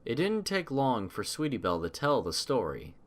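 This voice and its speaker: A man's voice: mid-range voice, big pitch swings, normal speed. A man reading scripted audiobook narration as the storyteller.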